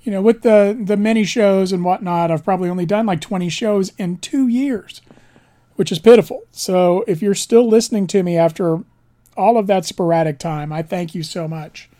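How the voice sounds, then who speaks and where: A man with a raspy voice from pollen, hosting a music show in a rambling, chatty, self-deprecating way. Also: high-pitched, moderate pace, dark tone. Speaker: radio show host. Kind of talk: casual music show talk.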